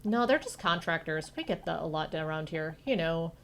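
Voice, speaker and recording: A woman's voice: low-pitched, animated, moderate pace, slight background noise. A woman voicing a nervous, bumbling male security guard who is eager, flustered and stammering in a video game. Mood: sheepish.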